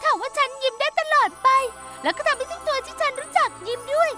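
Casting: a squeaky-high, bubbly young pony mare for a cartoon dub, cheerful children's music behind her. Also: fast. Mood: wistful. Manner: bubbly, excitable. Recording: music bed under the voice.

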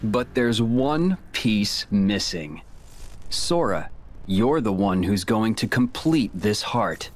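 A cold young male game villain. He speaks slowly and smugly, his pitch swinging widely as he taunts. Faint ambience sits behind the menacing voice. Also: sing-song, slight background noise. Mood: smug taunting. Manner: menacing, smug, deliberate. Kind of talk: dubbed video game villain acting.

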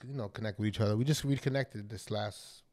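A middle-aged man with a New York accent, a guest DJ on a podcast, telling animated and profane stories in a small studio on a close mic. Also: fairly low pitch, quick pace. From Brooklyn, New York. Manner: animated, profane storytelling with bursts of emphasis. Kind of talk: casual podcast storytelling. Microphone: studio mic close up, wearing headphones.

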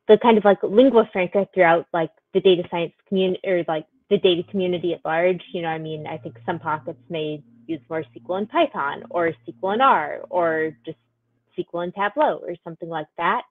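A woman's voice: lowish voice, a little fast, dry recording. A woman speaks as a panelist over a video call. Her voice comes through tinny and narrow, like a phone line, and the sound is dry. She talks casually and scatters "like" and "kind of" through her sentences. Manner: casual, many "like" and "kind of" fillers. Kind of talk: panel discussion answer.

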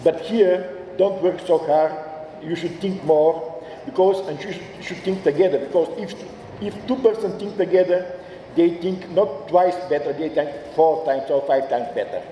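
A man in his sixties with a Bulgarian accent, giving an informal welcome speech, haltingly searching for words. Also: a little high, mellow. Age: 60s. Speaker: institute director giving a speech. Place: large auditorium stage. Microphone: podium microphone. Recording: echoey hall, distant, faint noise.

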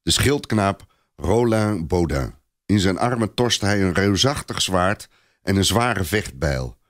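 A man's voice: deep voice, expressive, takes it slowly. A man narrating a scripted story expressively, as a storyteller.

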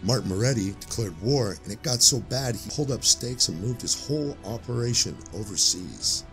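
An actor playing a world-weary old police sergeant in an audio drama, with brooding, measured delivery over background music. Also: male voice, lowish voice, expressive, fairly bright tone. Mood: somber. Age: older. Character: grizzled, street-hardened old police sergeant, world-weary, days from retiring. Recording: music bed under the voice.

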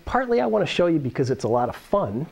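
Middle-aged American man, lively science explainer. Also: on the high side, quick pace, creaky, background noise. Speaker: science demonstrator and host. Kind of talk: explanatory how-to presentation. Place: school workshop or lab room, indoors. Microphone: lapel microphone.

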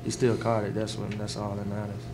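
A young man, a college football player answering questions after a game at a table microphone, speaking casually and haltingly. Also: lowish voice, monotone, heavy background noise. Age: young adult. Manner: casual, halting, laid-back. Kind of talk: postgame press answers. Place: press room before a sponsor backdrop. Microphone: table mic in front.

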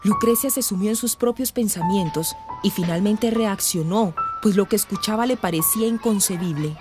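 A woman's voice: medium pitch, moderate pace. A woman giving scripted narration for a radio drama. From Colombia. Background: music.

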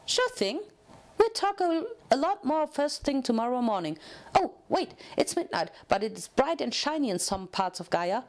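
A woman voicing a manic, bubbly young pink pony mare in a storybook reading, chirpy, excitable and chattering. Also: high voice, normal speed, pitched above the performer's own voice. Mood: cheerful.

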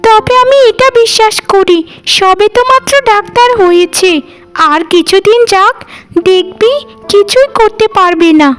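A spiteful village gossip woman from a cartoon, acted out by a female performer. Her voice is very high, and she talks in a sneering, dismissive way, with music playing underneath. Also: a little slow, fairly bright tone. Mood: envious, scornful. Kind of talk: Bengali cartoon voice acting.

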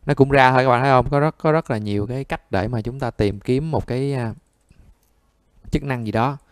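A man's voice: medium pitch, a little fast, dry recording. A man giving a conversational step-by-step repair tutorial, often checking that listeners follow. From Vietnam. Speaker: phone repair instructor.

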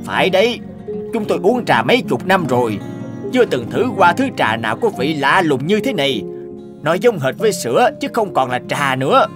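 A man's voice: a little high, very animated, normal speed, music in the background. A man playing a grumpy, indignant old teahouse regular in a cartoon, complaining and scolding. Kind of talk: Vietnamese cartoon dub.

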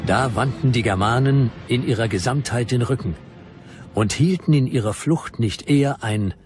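A man's voice: mid-range voice, moderate pace, lightly acted. An actor playing a stern Roman commander, declaiming his war chronicle formally as a dramatised historical quotation. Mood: stern, proud. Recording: faint music behind the voice.

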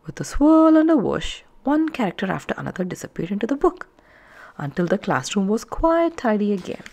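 A woman narrating a children's story aloud, with expressive, emphatic phrasing for young listeners. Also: medium pitch, a little fast.

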